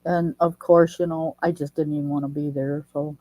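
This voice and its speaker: Host: woman, deep and mellow voice, slightly stuffy nose, dry close sound, chatty and folksy. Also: flat delivery, normal speed, no room sound. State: nasal from a head cold. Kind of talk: casual livestream chat.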